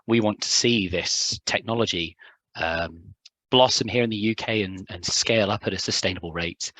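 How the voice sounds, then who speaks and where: Hoarse low-voiced man presenting. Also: bright, forceful tone, dry recording, rough recording. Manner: hesitant, many ums and restarts. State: hoarse, voice just recovering. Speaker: hydrogeologist presenting at a symposium. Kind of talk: conference talk with filler words.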